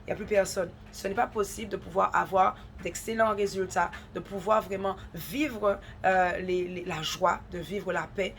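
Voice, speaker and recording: A woman's voice: mid-range voice, steady tone, moderate pace, few pauses, slight background noise. A woman with a head cold and a runny nose gives an earnest devotional talk, urging listeners on and repeating points for emphasis.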